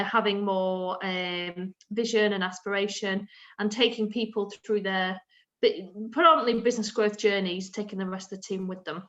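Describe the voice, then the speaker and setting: A woman's voice: medium pitch, fairly bright tone, highs cut off. A woman from North West England introducing herself as co-presenter of an online workshop, chatty with frequent fillers. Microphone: video call.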